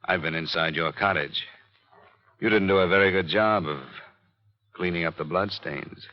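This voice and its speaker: An actor playing a cool, dryly sardonic insurance investigator in a 1950s radio drama, clipped and understated. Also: male voice, deep voice, moderate pace, natural voice. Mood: coolly accusing. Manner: clipped, hard-boiled, understated. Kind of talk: 1950s radio drama acting and first-person narration. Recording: dull vintage radio recording with music.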